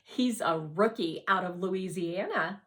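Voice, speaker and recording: A woman's voice: on the low side, measured pace, lightly acted. A woman voicing a friendly, self-assured schoolgirl in a storybook, with a casual, assured manner. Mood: nonchalant, knowing.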